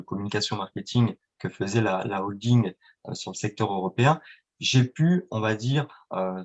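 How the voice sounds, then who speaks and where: A man's voice: on the low side, dull audio. A man explaining things at length as an interview guest, in a didactic, promotional way with rhetorical questions. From France or Italy, Franco-Italian. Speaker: guest, marketing agency representative.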